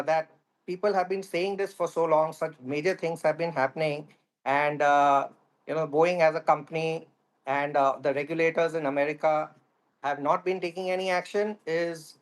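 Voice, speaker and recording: A man comments as an expert guest in a news interview. His voice sits a little high, and he speaks formally, choosing words with care.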